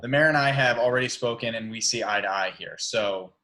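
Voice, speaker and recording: A principled billionaire businessman read by a man, measured but ready to confront. The voice is medium in pitch with a bright, forceful edge, in an amateur script read. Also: low-quality recording. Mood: firm, challenging.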